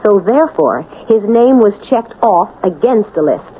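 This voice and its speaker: An actress playing a methodical, insistent woman doctor who reasons deliberately in a radio drama. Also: medium pitch, expressive, close to an everyday voice. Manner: deliberate, logical, pressing. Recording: muffled old radio recording, faint hiss.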